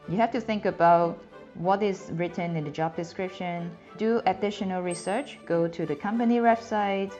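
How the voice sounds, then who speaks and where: A woman's voice: fairly low pitch, darkish tone. A woman in her thirties from Hong Kong, with a Hong Kong accent and Cantonese as her first language, earnestly explaining career advice to the camera in a small room. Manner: earnest, slightly non-native phrasing, clear explanatory tone. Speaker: career advice video host. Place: small indoor room, plain background. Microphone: camera framed mid-shot. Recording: slightly dull sound with faint music.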